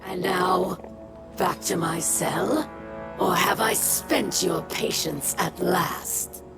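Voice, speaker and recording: Bitter elderly alien prisoner, slow disdainful drawl, gravelly, loud music underneath, video game. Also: female voice, fairly low pitch. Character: haughty, bitter old female alien prisoner. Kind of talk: video game cutscene acting.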